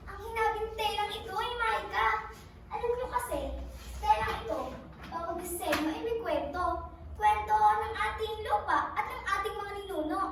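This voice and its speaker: A woman's voice: extremely high pitch, moderate pace. A woman in a school stage play acting a spirited old grandmother weaver from the past, with emphatic, declamatory delivery. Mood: proud, earnest. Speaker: actor in a school one-act play. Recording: echoey hall, distant mic, faint noise.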